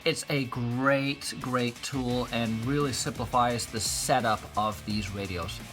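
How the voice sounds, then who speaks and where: A man's voice: mid-range voice, steady tone, takes it slowly, music in the background. A man hosting an informal instructional video, speaking emphatically with playful turns of phrase. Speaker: host of a gear and vehicle channel.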